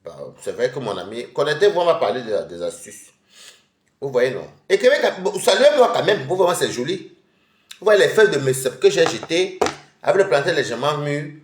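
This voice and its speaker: A man's voice: fairly high pitch, very animated, brisk. Man, stuffy head cold, rambling live-stream chat, roomy echo. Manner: chatty and rambling, addressing viewers directly. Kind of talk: casual live-stream chat while eating.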